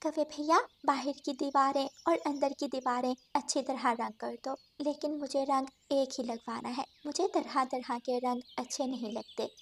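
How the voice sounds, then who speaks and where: A woman's voice: sits high, steady tone, normal speed, hardly any pauses. A woman voicing a kind, fussy mother bird in a children's cartoon, courteous but insistent. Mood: particular. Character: kind, fussy female songbird homeowner and mother. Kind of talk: Hindi children's cartoon dialogue.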